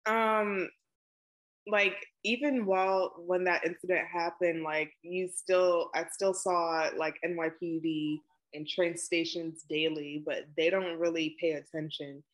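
A woman, answering questions in a relaxed oral history interview over a video call, with plenty of ums and likes. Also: on the low side, unhurried, low-quality recording. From Brooklyn, New York. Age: 20s.

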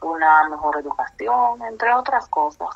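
A woman from the Republic, answering oral history questions slowly, with hesitant fillers. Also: deep voice, lively intonation, creaky. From Dominican Republic. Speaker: interviewee. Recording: muffled, dull lo-fi audio.

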